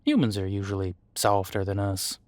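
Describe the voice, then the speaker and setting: Demon detective male actor, audio drama: deeper voice, laid-back, reassuring partner, folksy phrasing, very dry sound. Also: darkish tone, no room sound, lightly acted. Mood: reflective. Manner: folksy, casual, reassuring, hesitant pauses. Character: easygoing male demon homicide detective mentoring new partner. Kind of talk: audio roleplay drama, conversational monologue.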